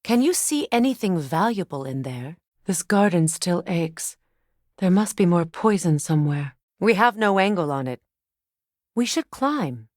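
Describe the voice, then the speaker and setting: A woman playing a solemn, compassionate, determined ancient goddess in a video game, speaking in a measured, formal, earnest way. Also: on the low side, sing-song, darkish tone, no room sound, studio quality. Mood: tender, mournful. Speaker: video game character voice actor. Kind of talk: dramatic game dialogue.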